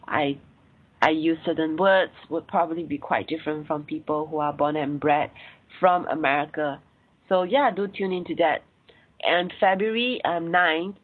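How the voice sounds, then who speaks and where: Singaporean woman, podcast host, husky voice on a thin phone-like line, chatty and full of ums. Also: deep voice. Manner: chatty, many "um" and "uh" fillers. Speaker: podcast host and sex coach. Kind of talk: solo podcast episode recap.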